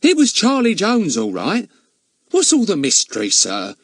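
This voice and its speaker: A man's voice: high-pitched, huge pitch swings, measured pace, dry recording, clean studio sound, voice pushed up, full character voice. A man voicing a talkative, easily offended older female housekeeper who keeps calling her employer sir. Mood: chatty. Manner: gossipy, deferential, many a "sir". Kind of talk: audiobook character voice.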